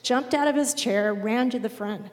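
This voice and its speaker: A woman giving an unhurried missionary report to a congregation, pausing often with "um". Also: fairly high pitch. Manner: unhurried, with frequent "um" pauses. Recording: long reverb of a large hall.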